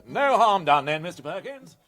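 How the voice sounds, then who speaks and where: An actor playing a courteous, fussy, easily flustered English official in a radio comedy, hesitant and polite. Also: male voice, high-pitched, big pitch swings, normal speed, crowd noise. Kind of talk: British radio comedy acting.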